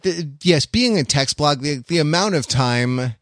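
A man's voice: a little high, lively intonation, measured pace, a bit bright, no echo, clean studio sound. A man chatting casually as a podcast co-host, rambling and wry, with mock-grandiose turns of phrase.

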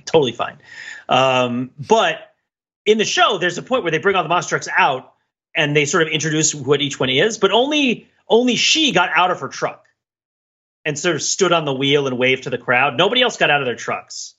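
A man in middle age hosts a casual podcast with a very bright, forward voice that has a husky edge. He tells stories in an animated, conversational way. The recording is slightly dull and lo-fi. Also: on the high side, normal speed. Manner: animated storyteller, acts out imagined dialogue, laughs while talking. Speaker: podcast host.

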